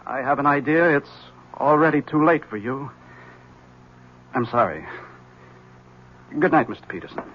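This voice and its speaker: A man's voice: mid-range voice, lively intonation, moderate pace, pauses often, natural voice. Man acting an ominous, resigned radio-drama husband. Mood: resigned, evasive. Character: ominous, resigned husband giving veiled warnings. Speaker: actor in a radio mystery drama. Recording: dull, hissy vintage radio recording.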